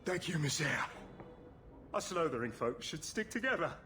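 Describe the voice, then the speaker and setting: An actor voicing a desperate, humble miner in a video game, pleading and deferential with rustic turns of phrase. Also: male voice, fairly high pitch, sing-song, normal speed, music in the background. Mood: frightened pleading. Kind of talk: video game cutscene acting.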